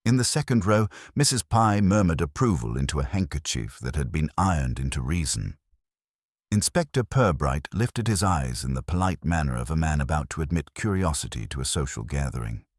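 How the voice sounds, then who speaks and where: A man with a very low, husky voice narrates a wry mystery story. His delivery is arch and measured, with lively rises and falls, in a dry, close recording. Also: dry recording.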